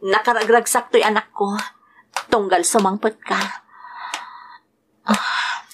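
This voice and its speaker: A woman's voice: medium pitch, steady tone, slow, noisy background, close to an everyday voice. A woman with an Ilocano accent playing a tender, grieving young widowed mother in an audio drama. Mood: wistful sorrow. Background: music.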